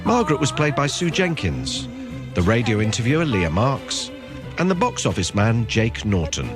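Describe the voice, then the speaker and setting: A man's voice: mid-range voice, sing-song, normal speed. A man reading scripted end credits as an announcer for a radio drama. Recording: music behind the voice.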